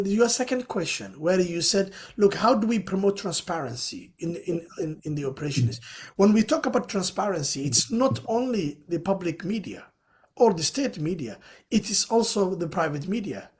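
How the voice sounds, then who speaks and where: A man's voice: sits high, very animated, normal speed. Earnest man explaining at length. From Somalia. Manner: earnest, explanatory, many "you know" fillers. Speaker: panel speaker. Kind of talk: webinar panel discussion.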